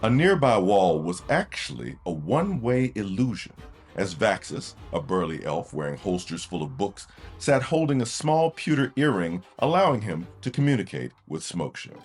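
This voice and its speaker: A man's voice: on the low side, very animated, moderate pace, darkish tone, breathy edge. A man narrating a fantasy audio drama from a script in a dramatic storytelling style.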